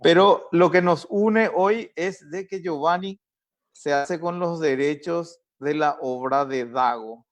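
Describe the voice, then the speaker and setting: A man's voice: fairly high pitch, slow pace, muffled recording. A man hosting and moderating a live online panel, chatting easily and passing the floor to the next guest. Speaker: host and moderator.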